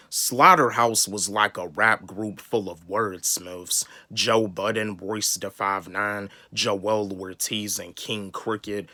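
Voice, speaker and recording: A man's voice: lowish voice, bright, forceful tone, no echo. A young man from the US South giving a casual, rambling opinion on a rap feud, often repeating words as he talks. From North Carolina, US South. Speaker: YouTube hip-hop commentator.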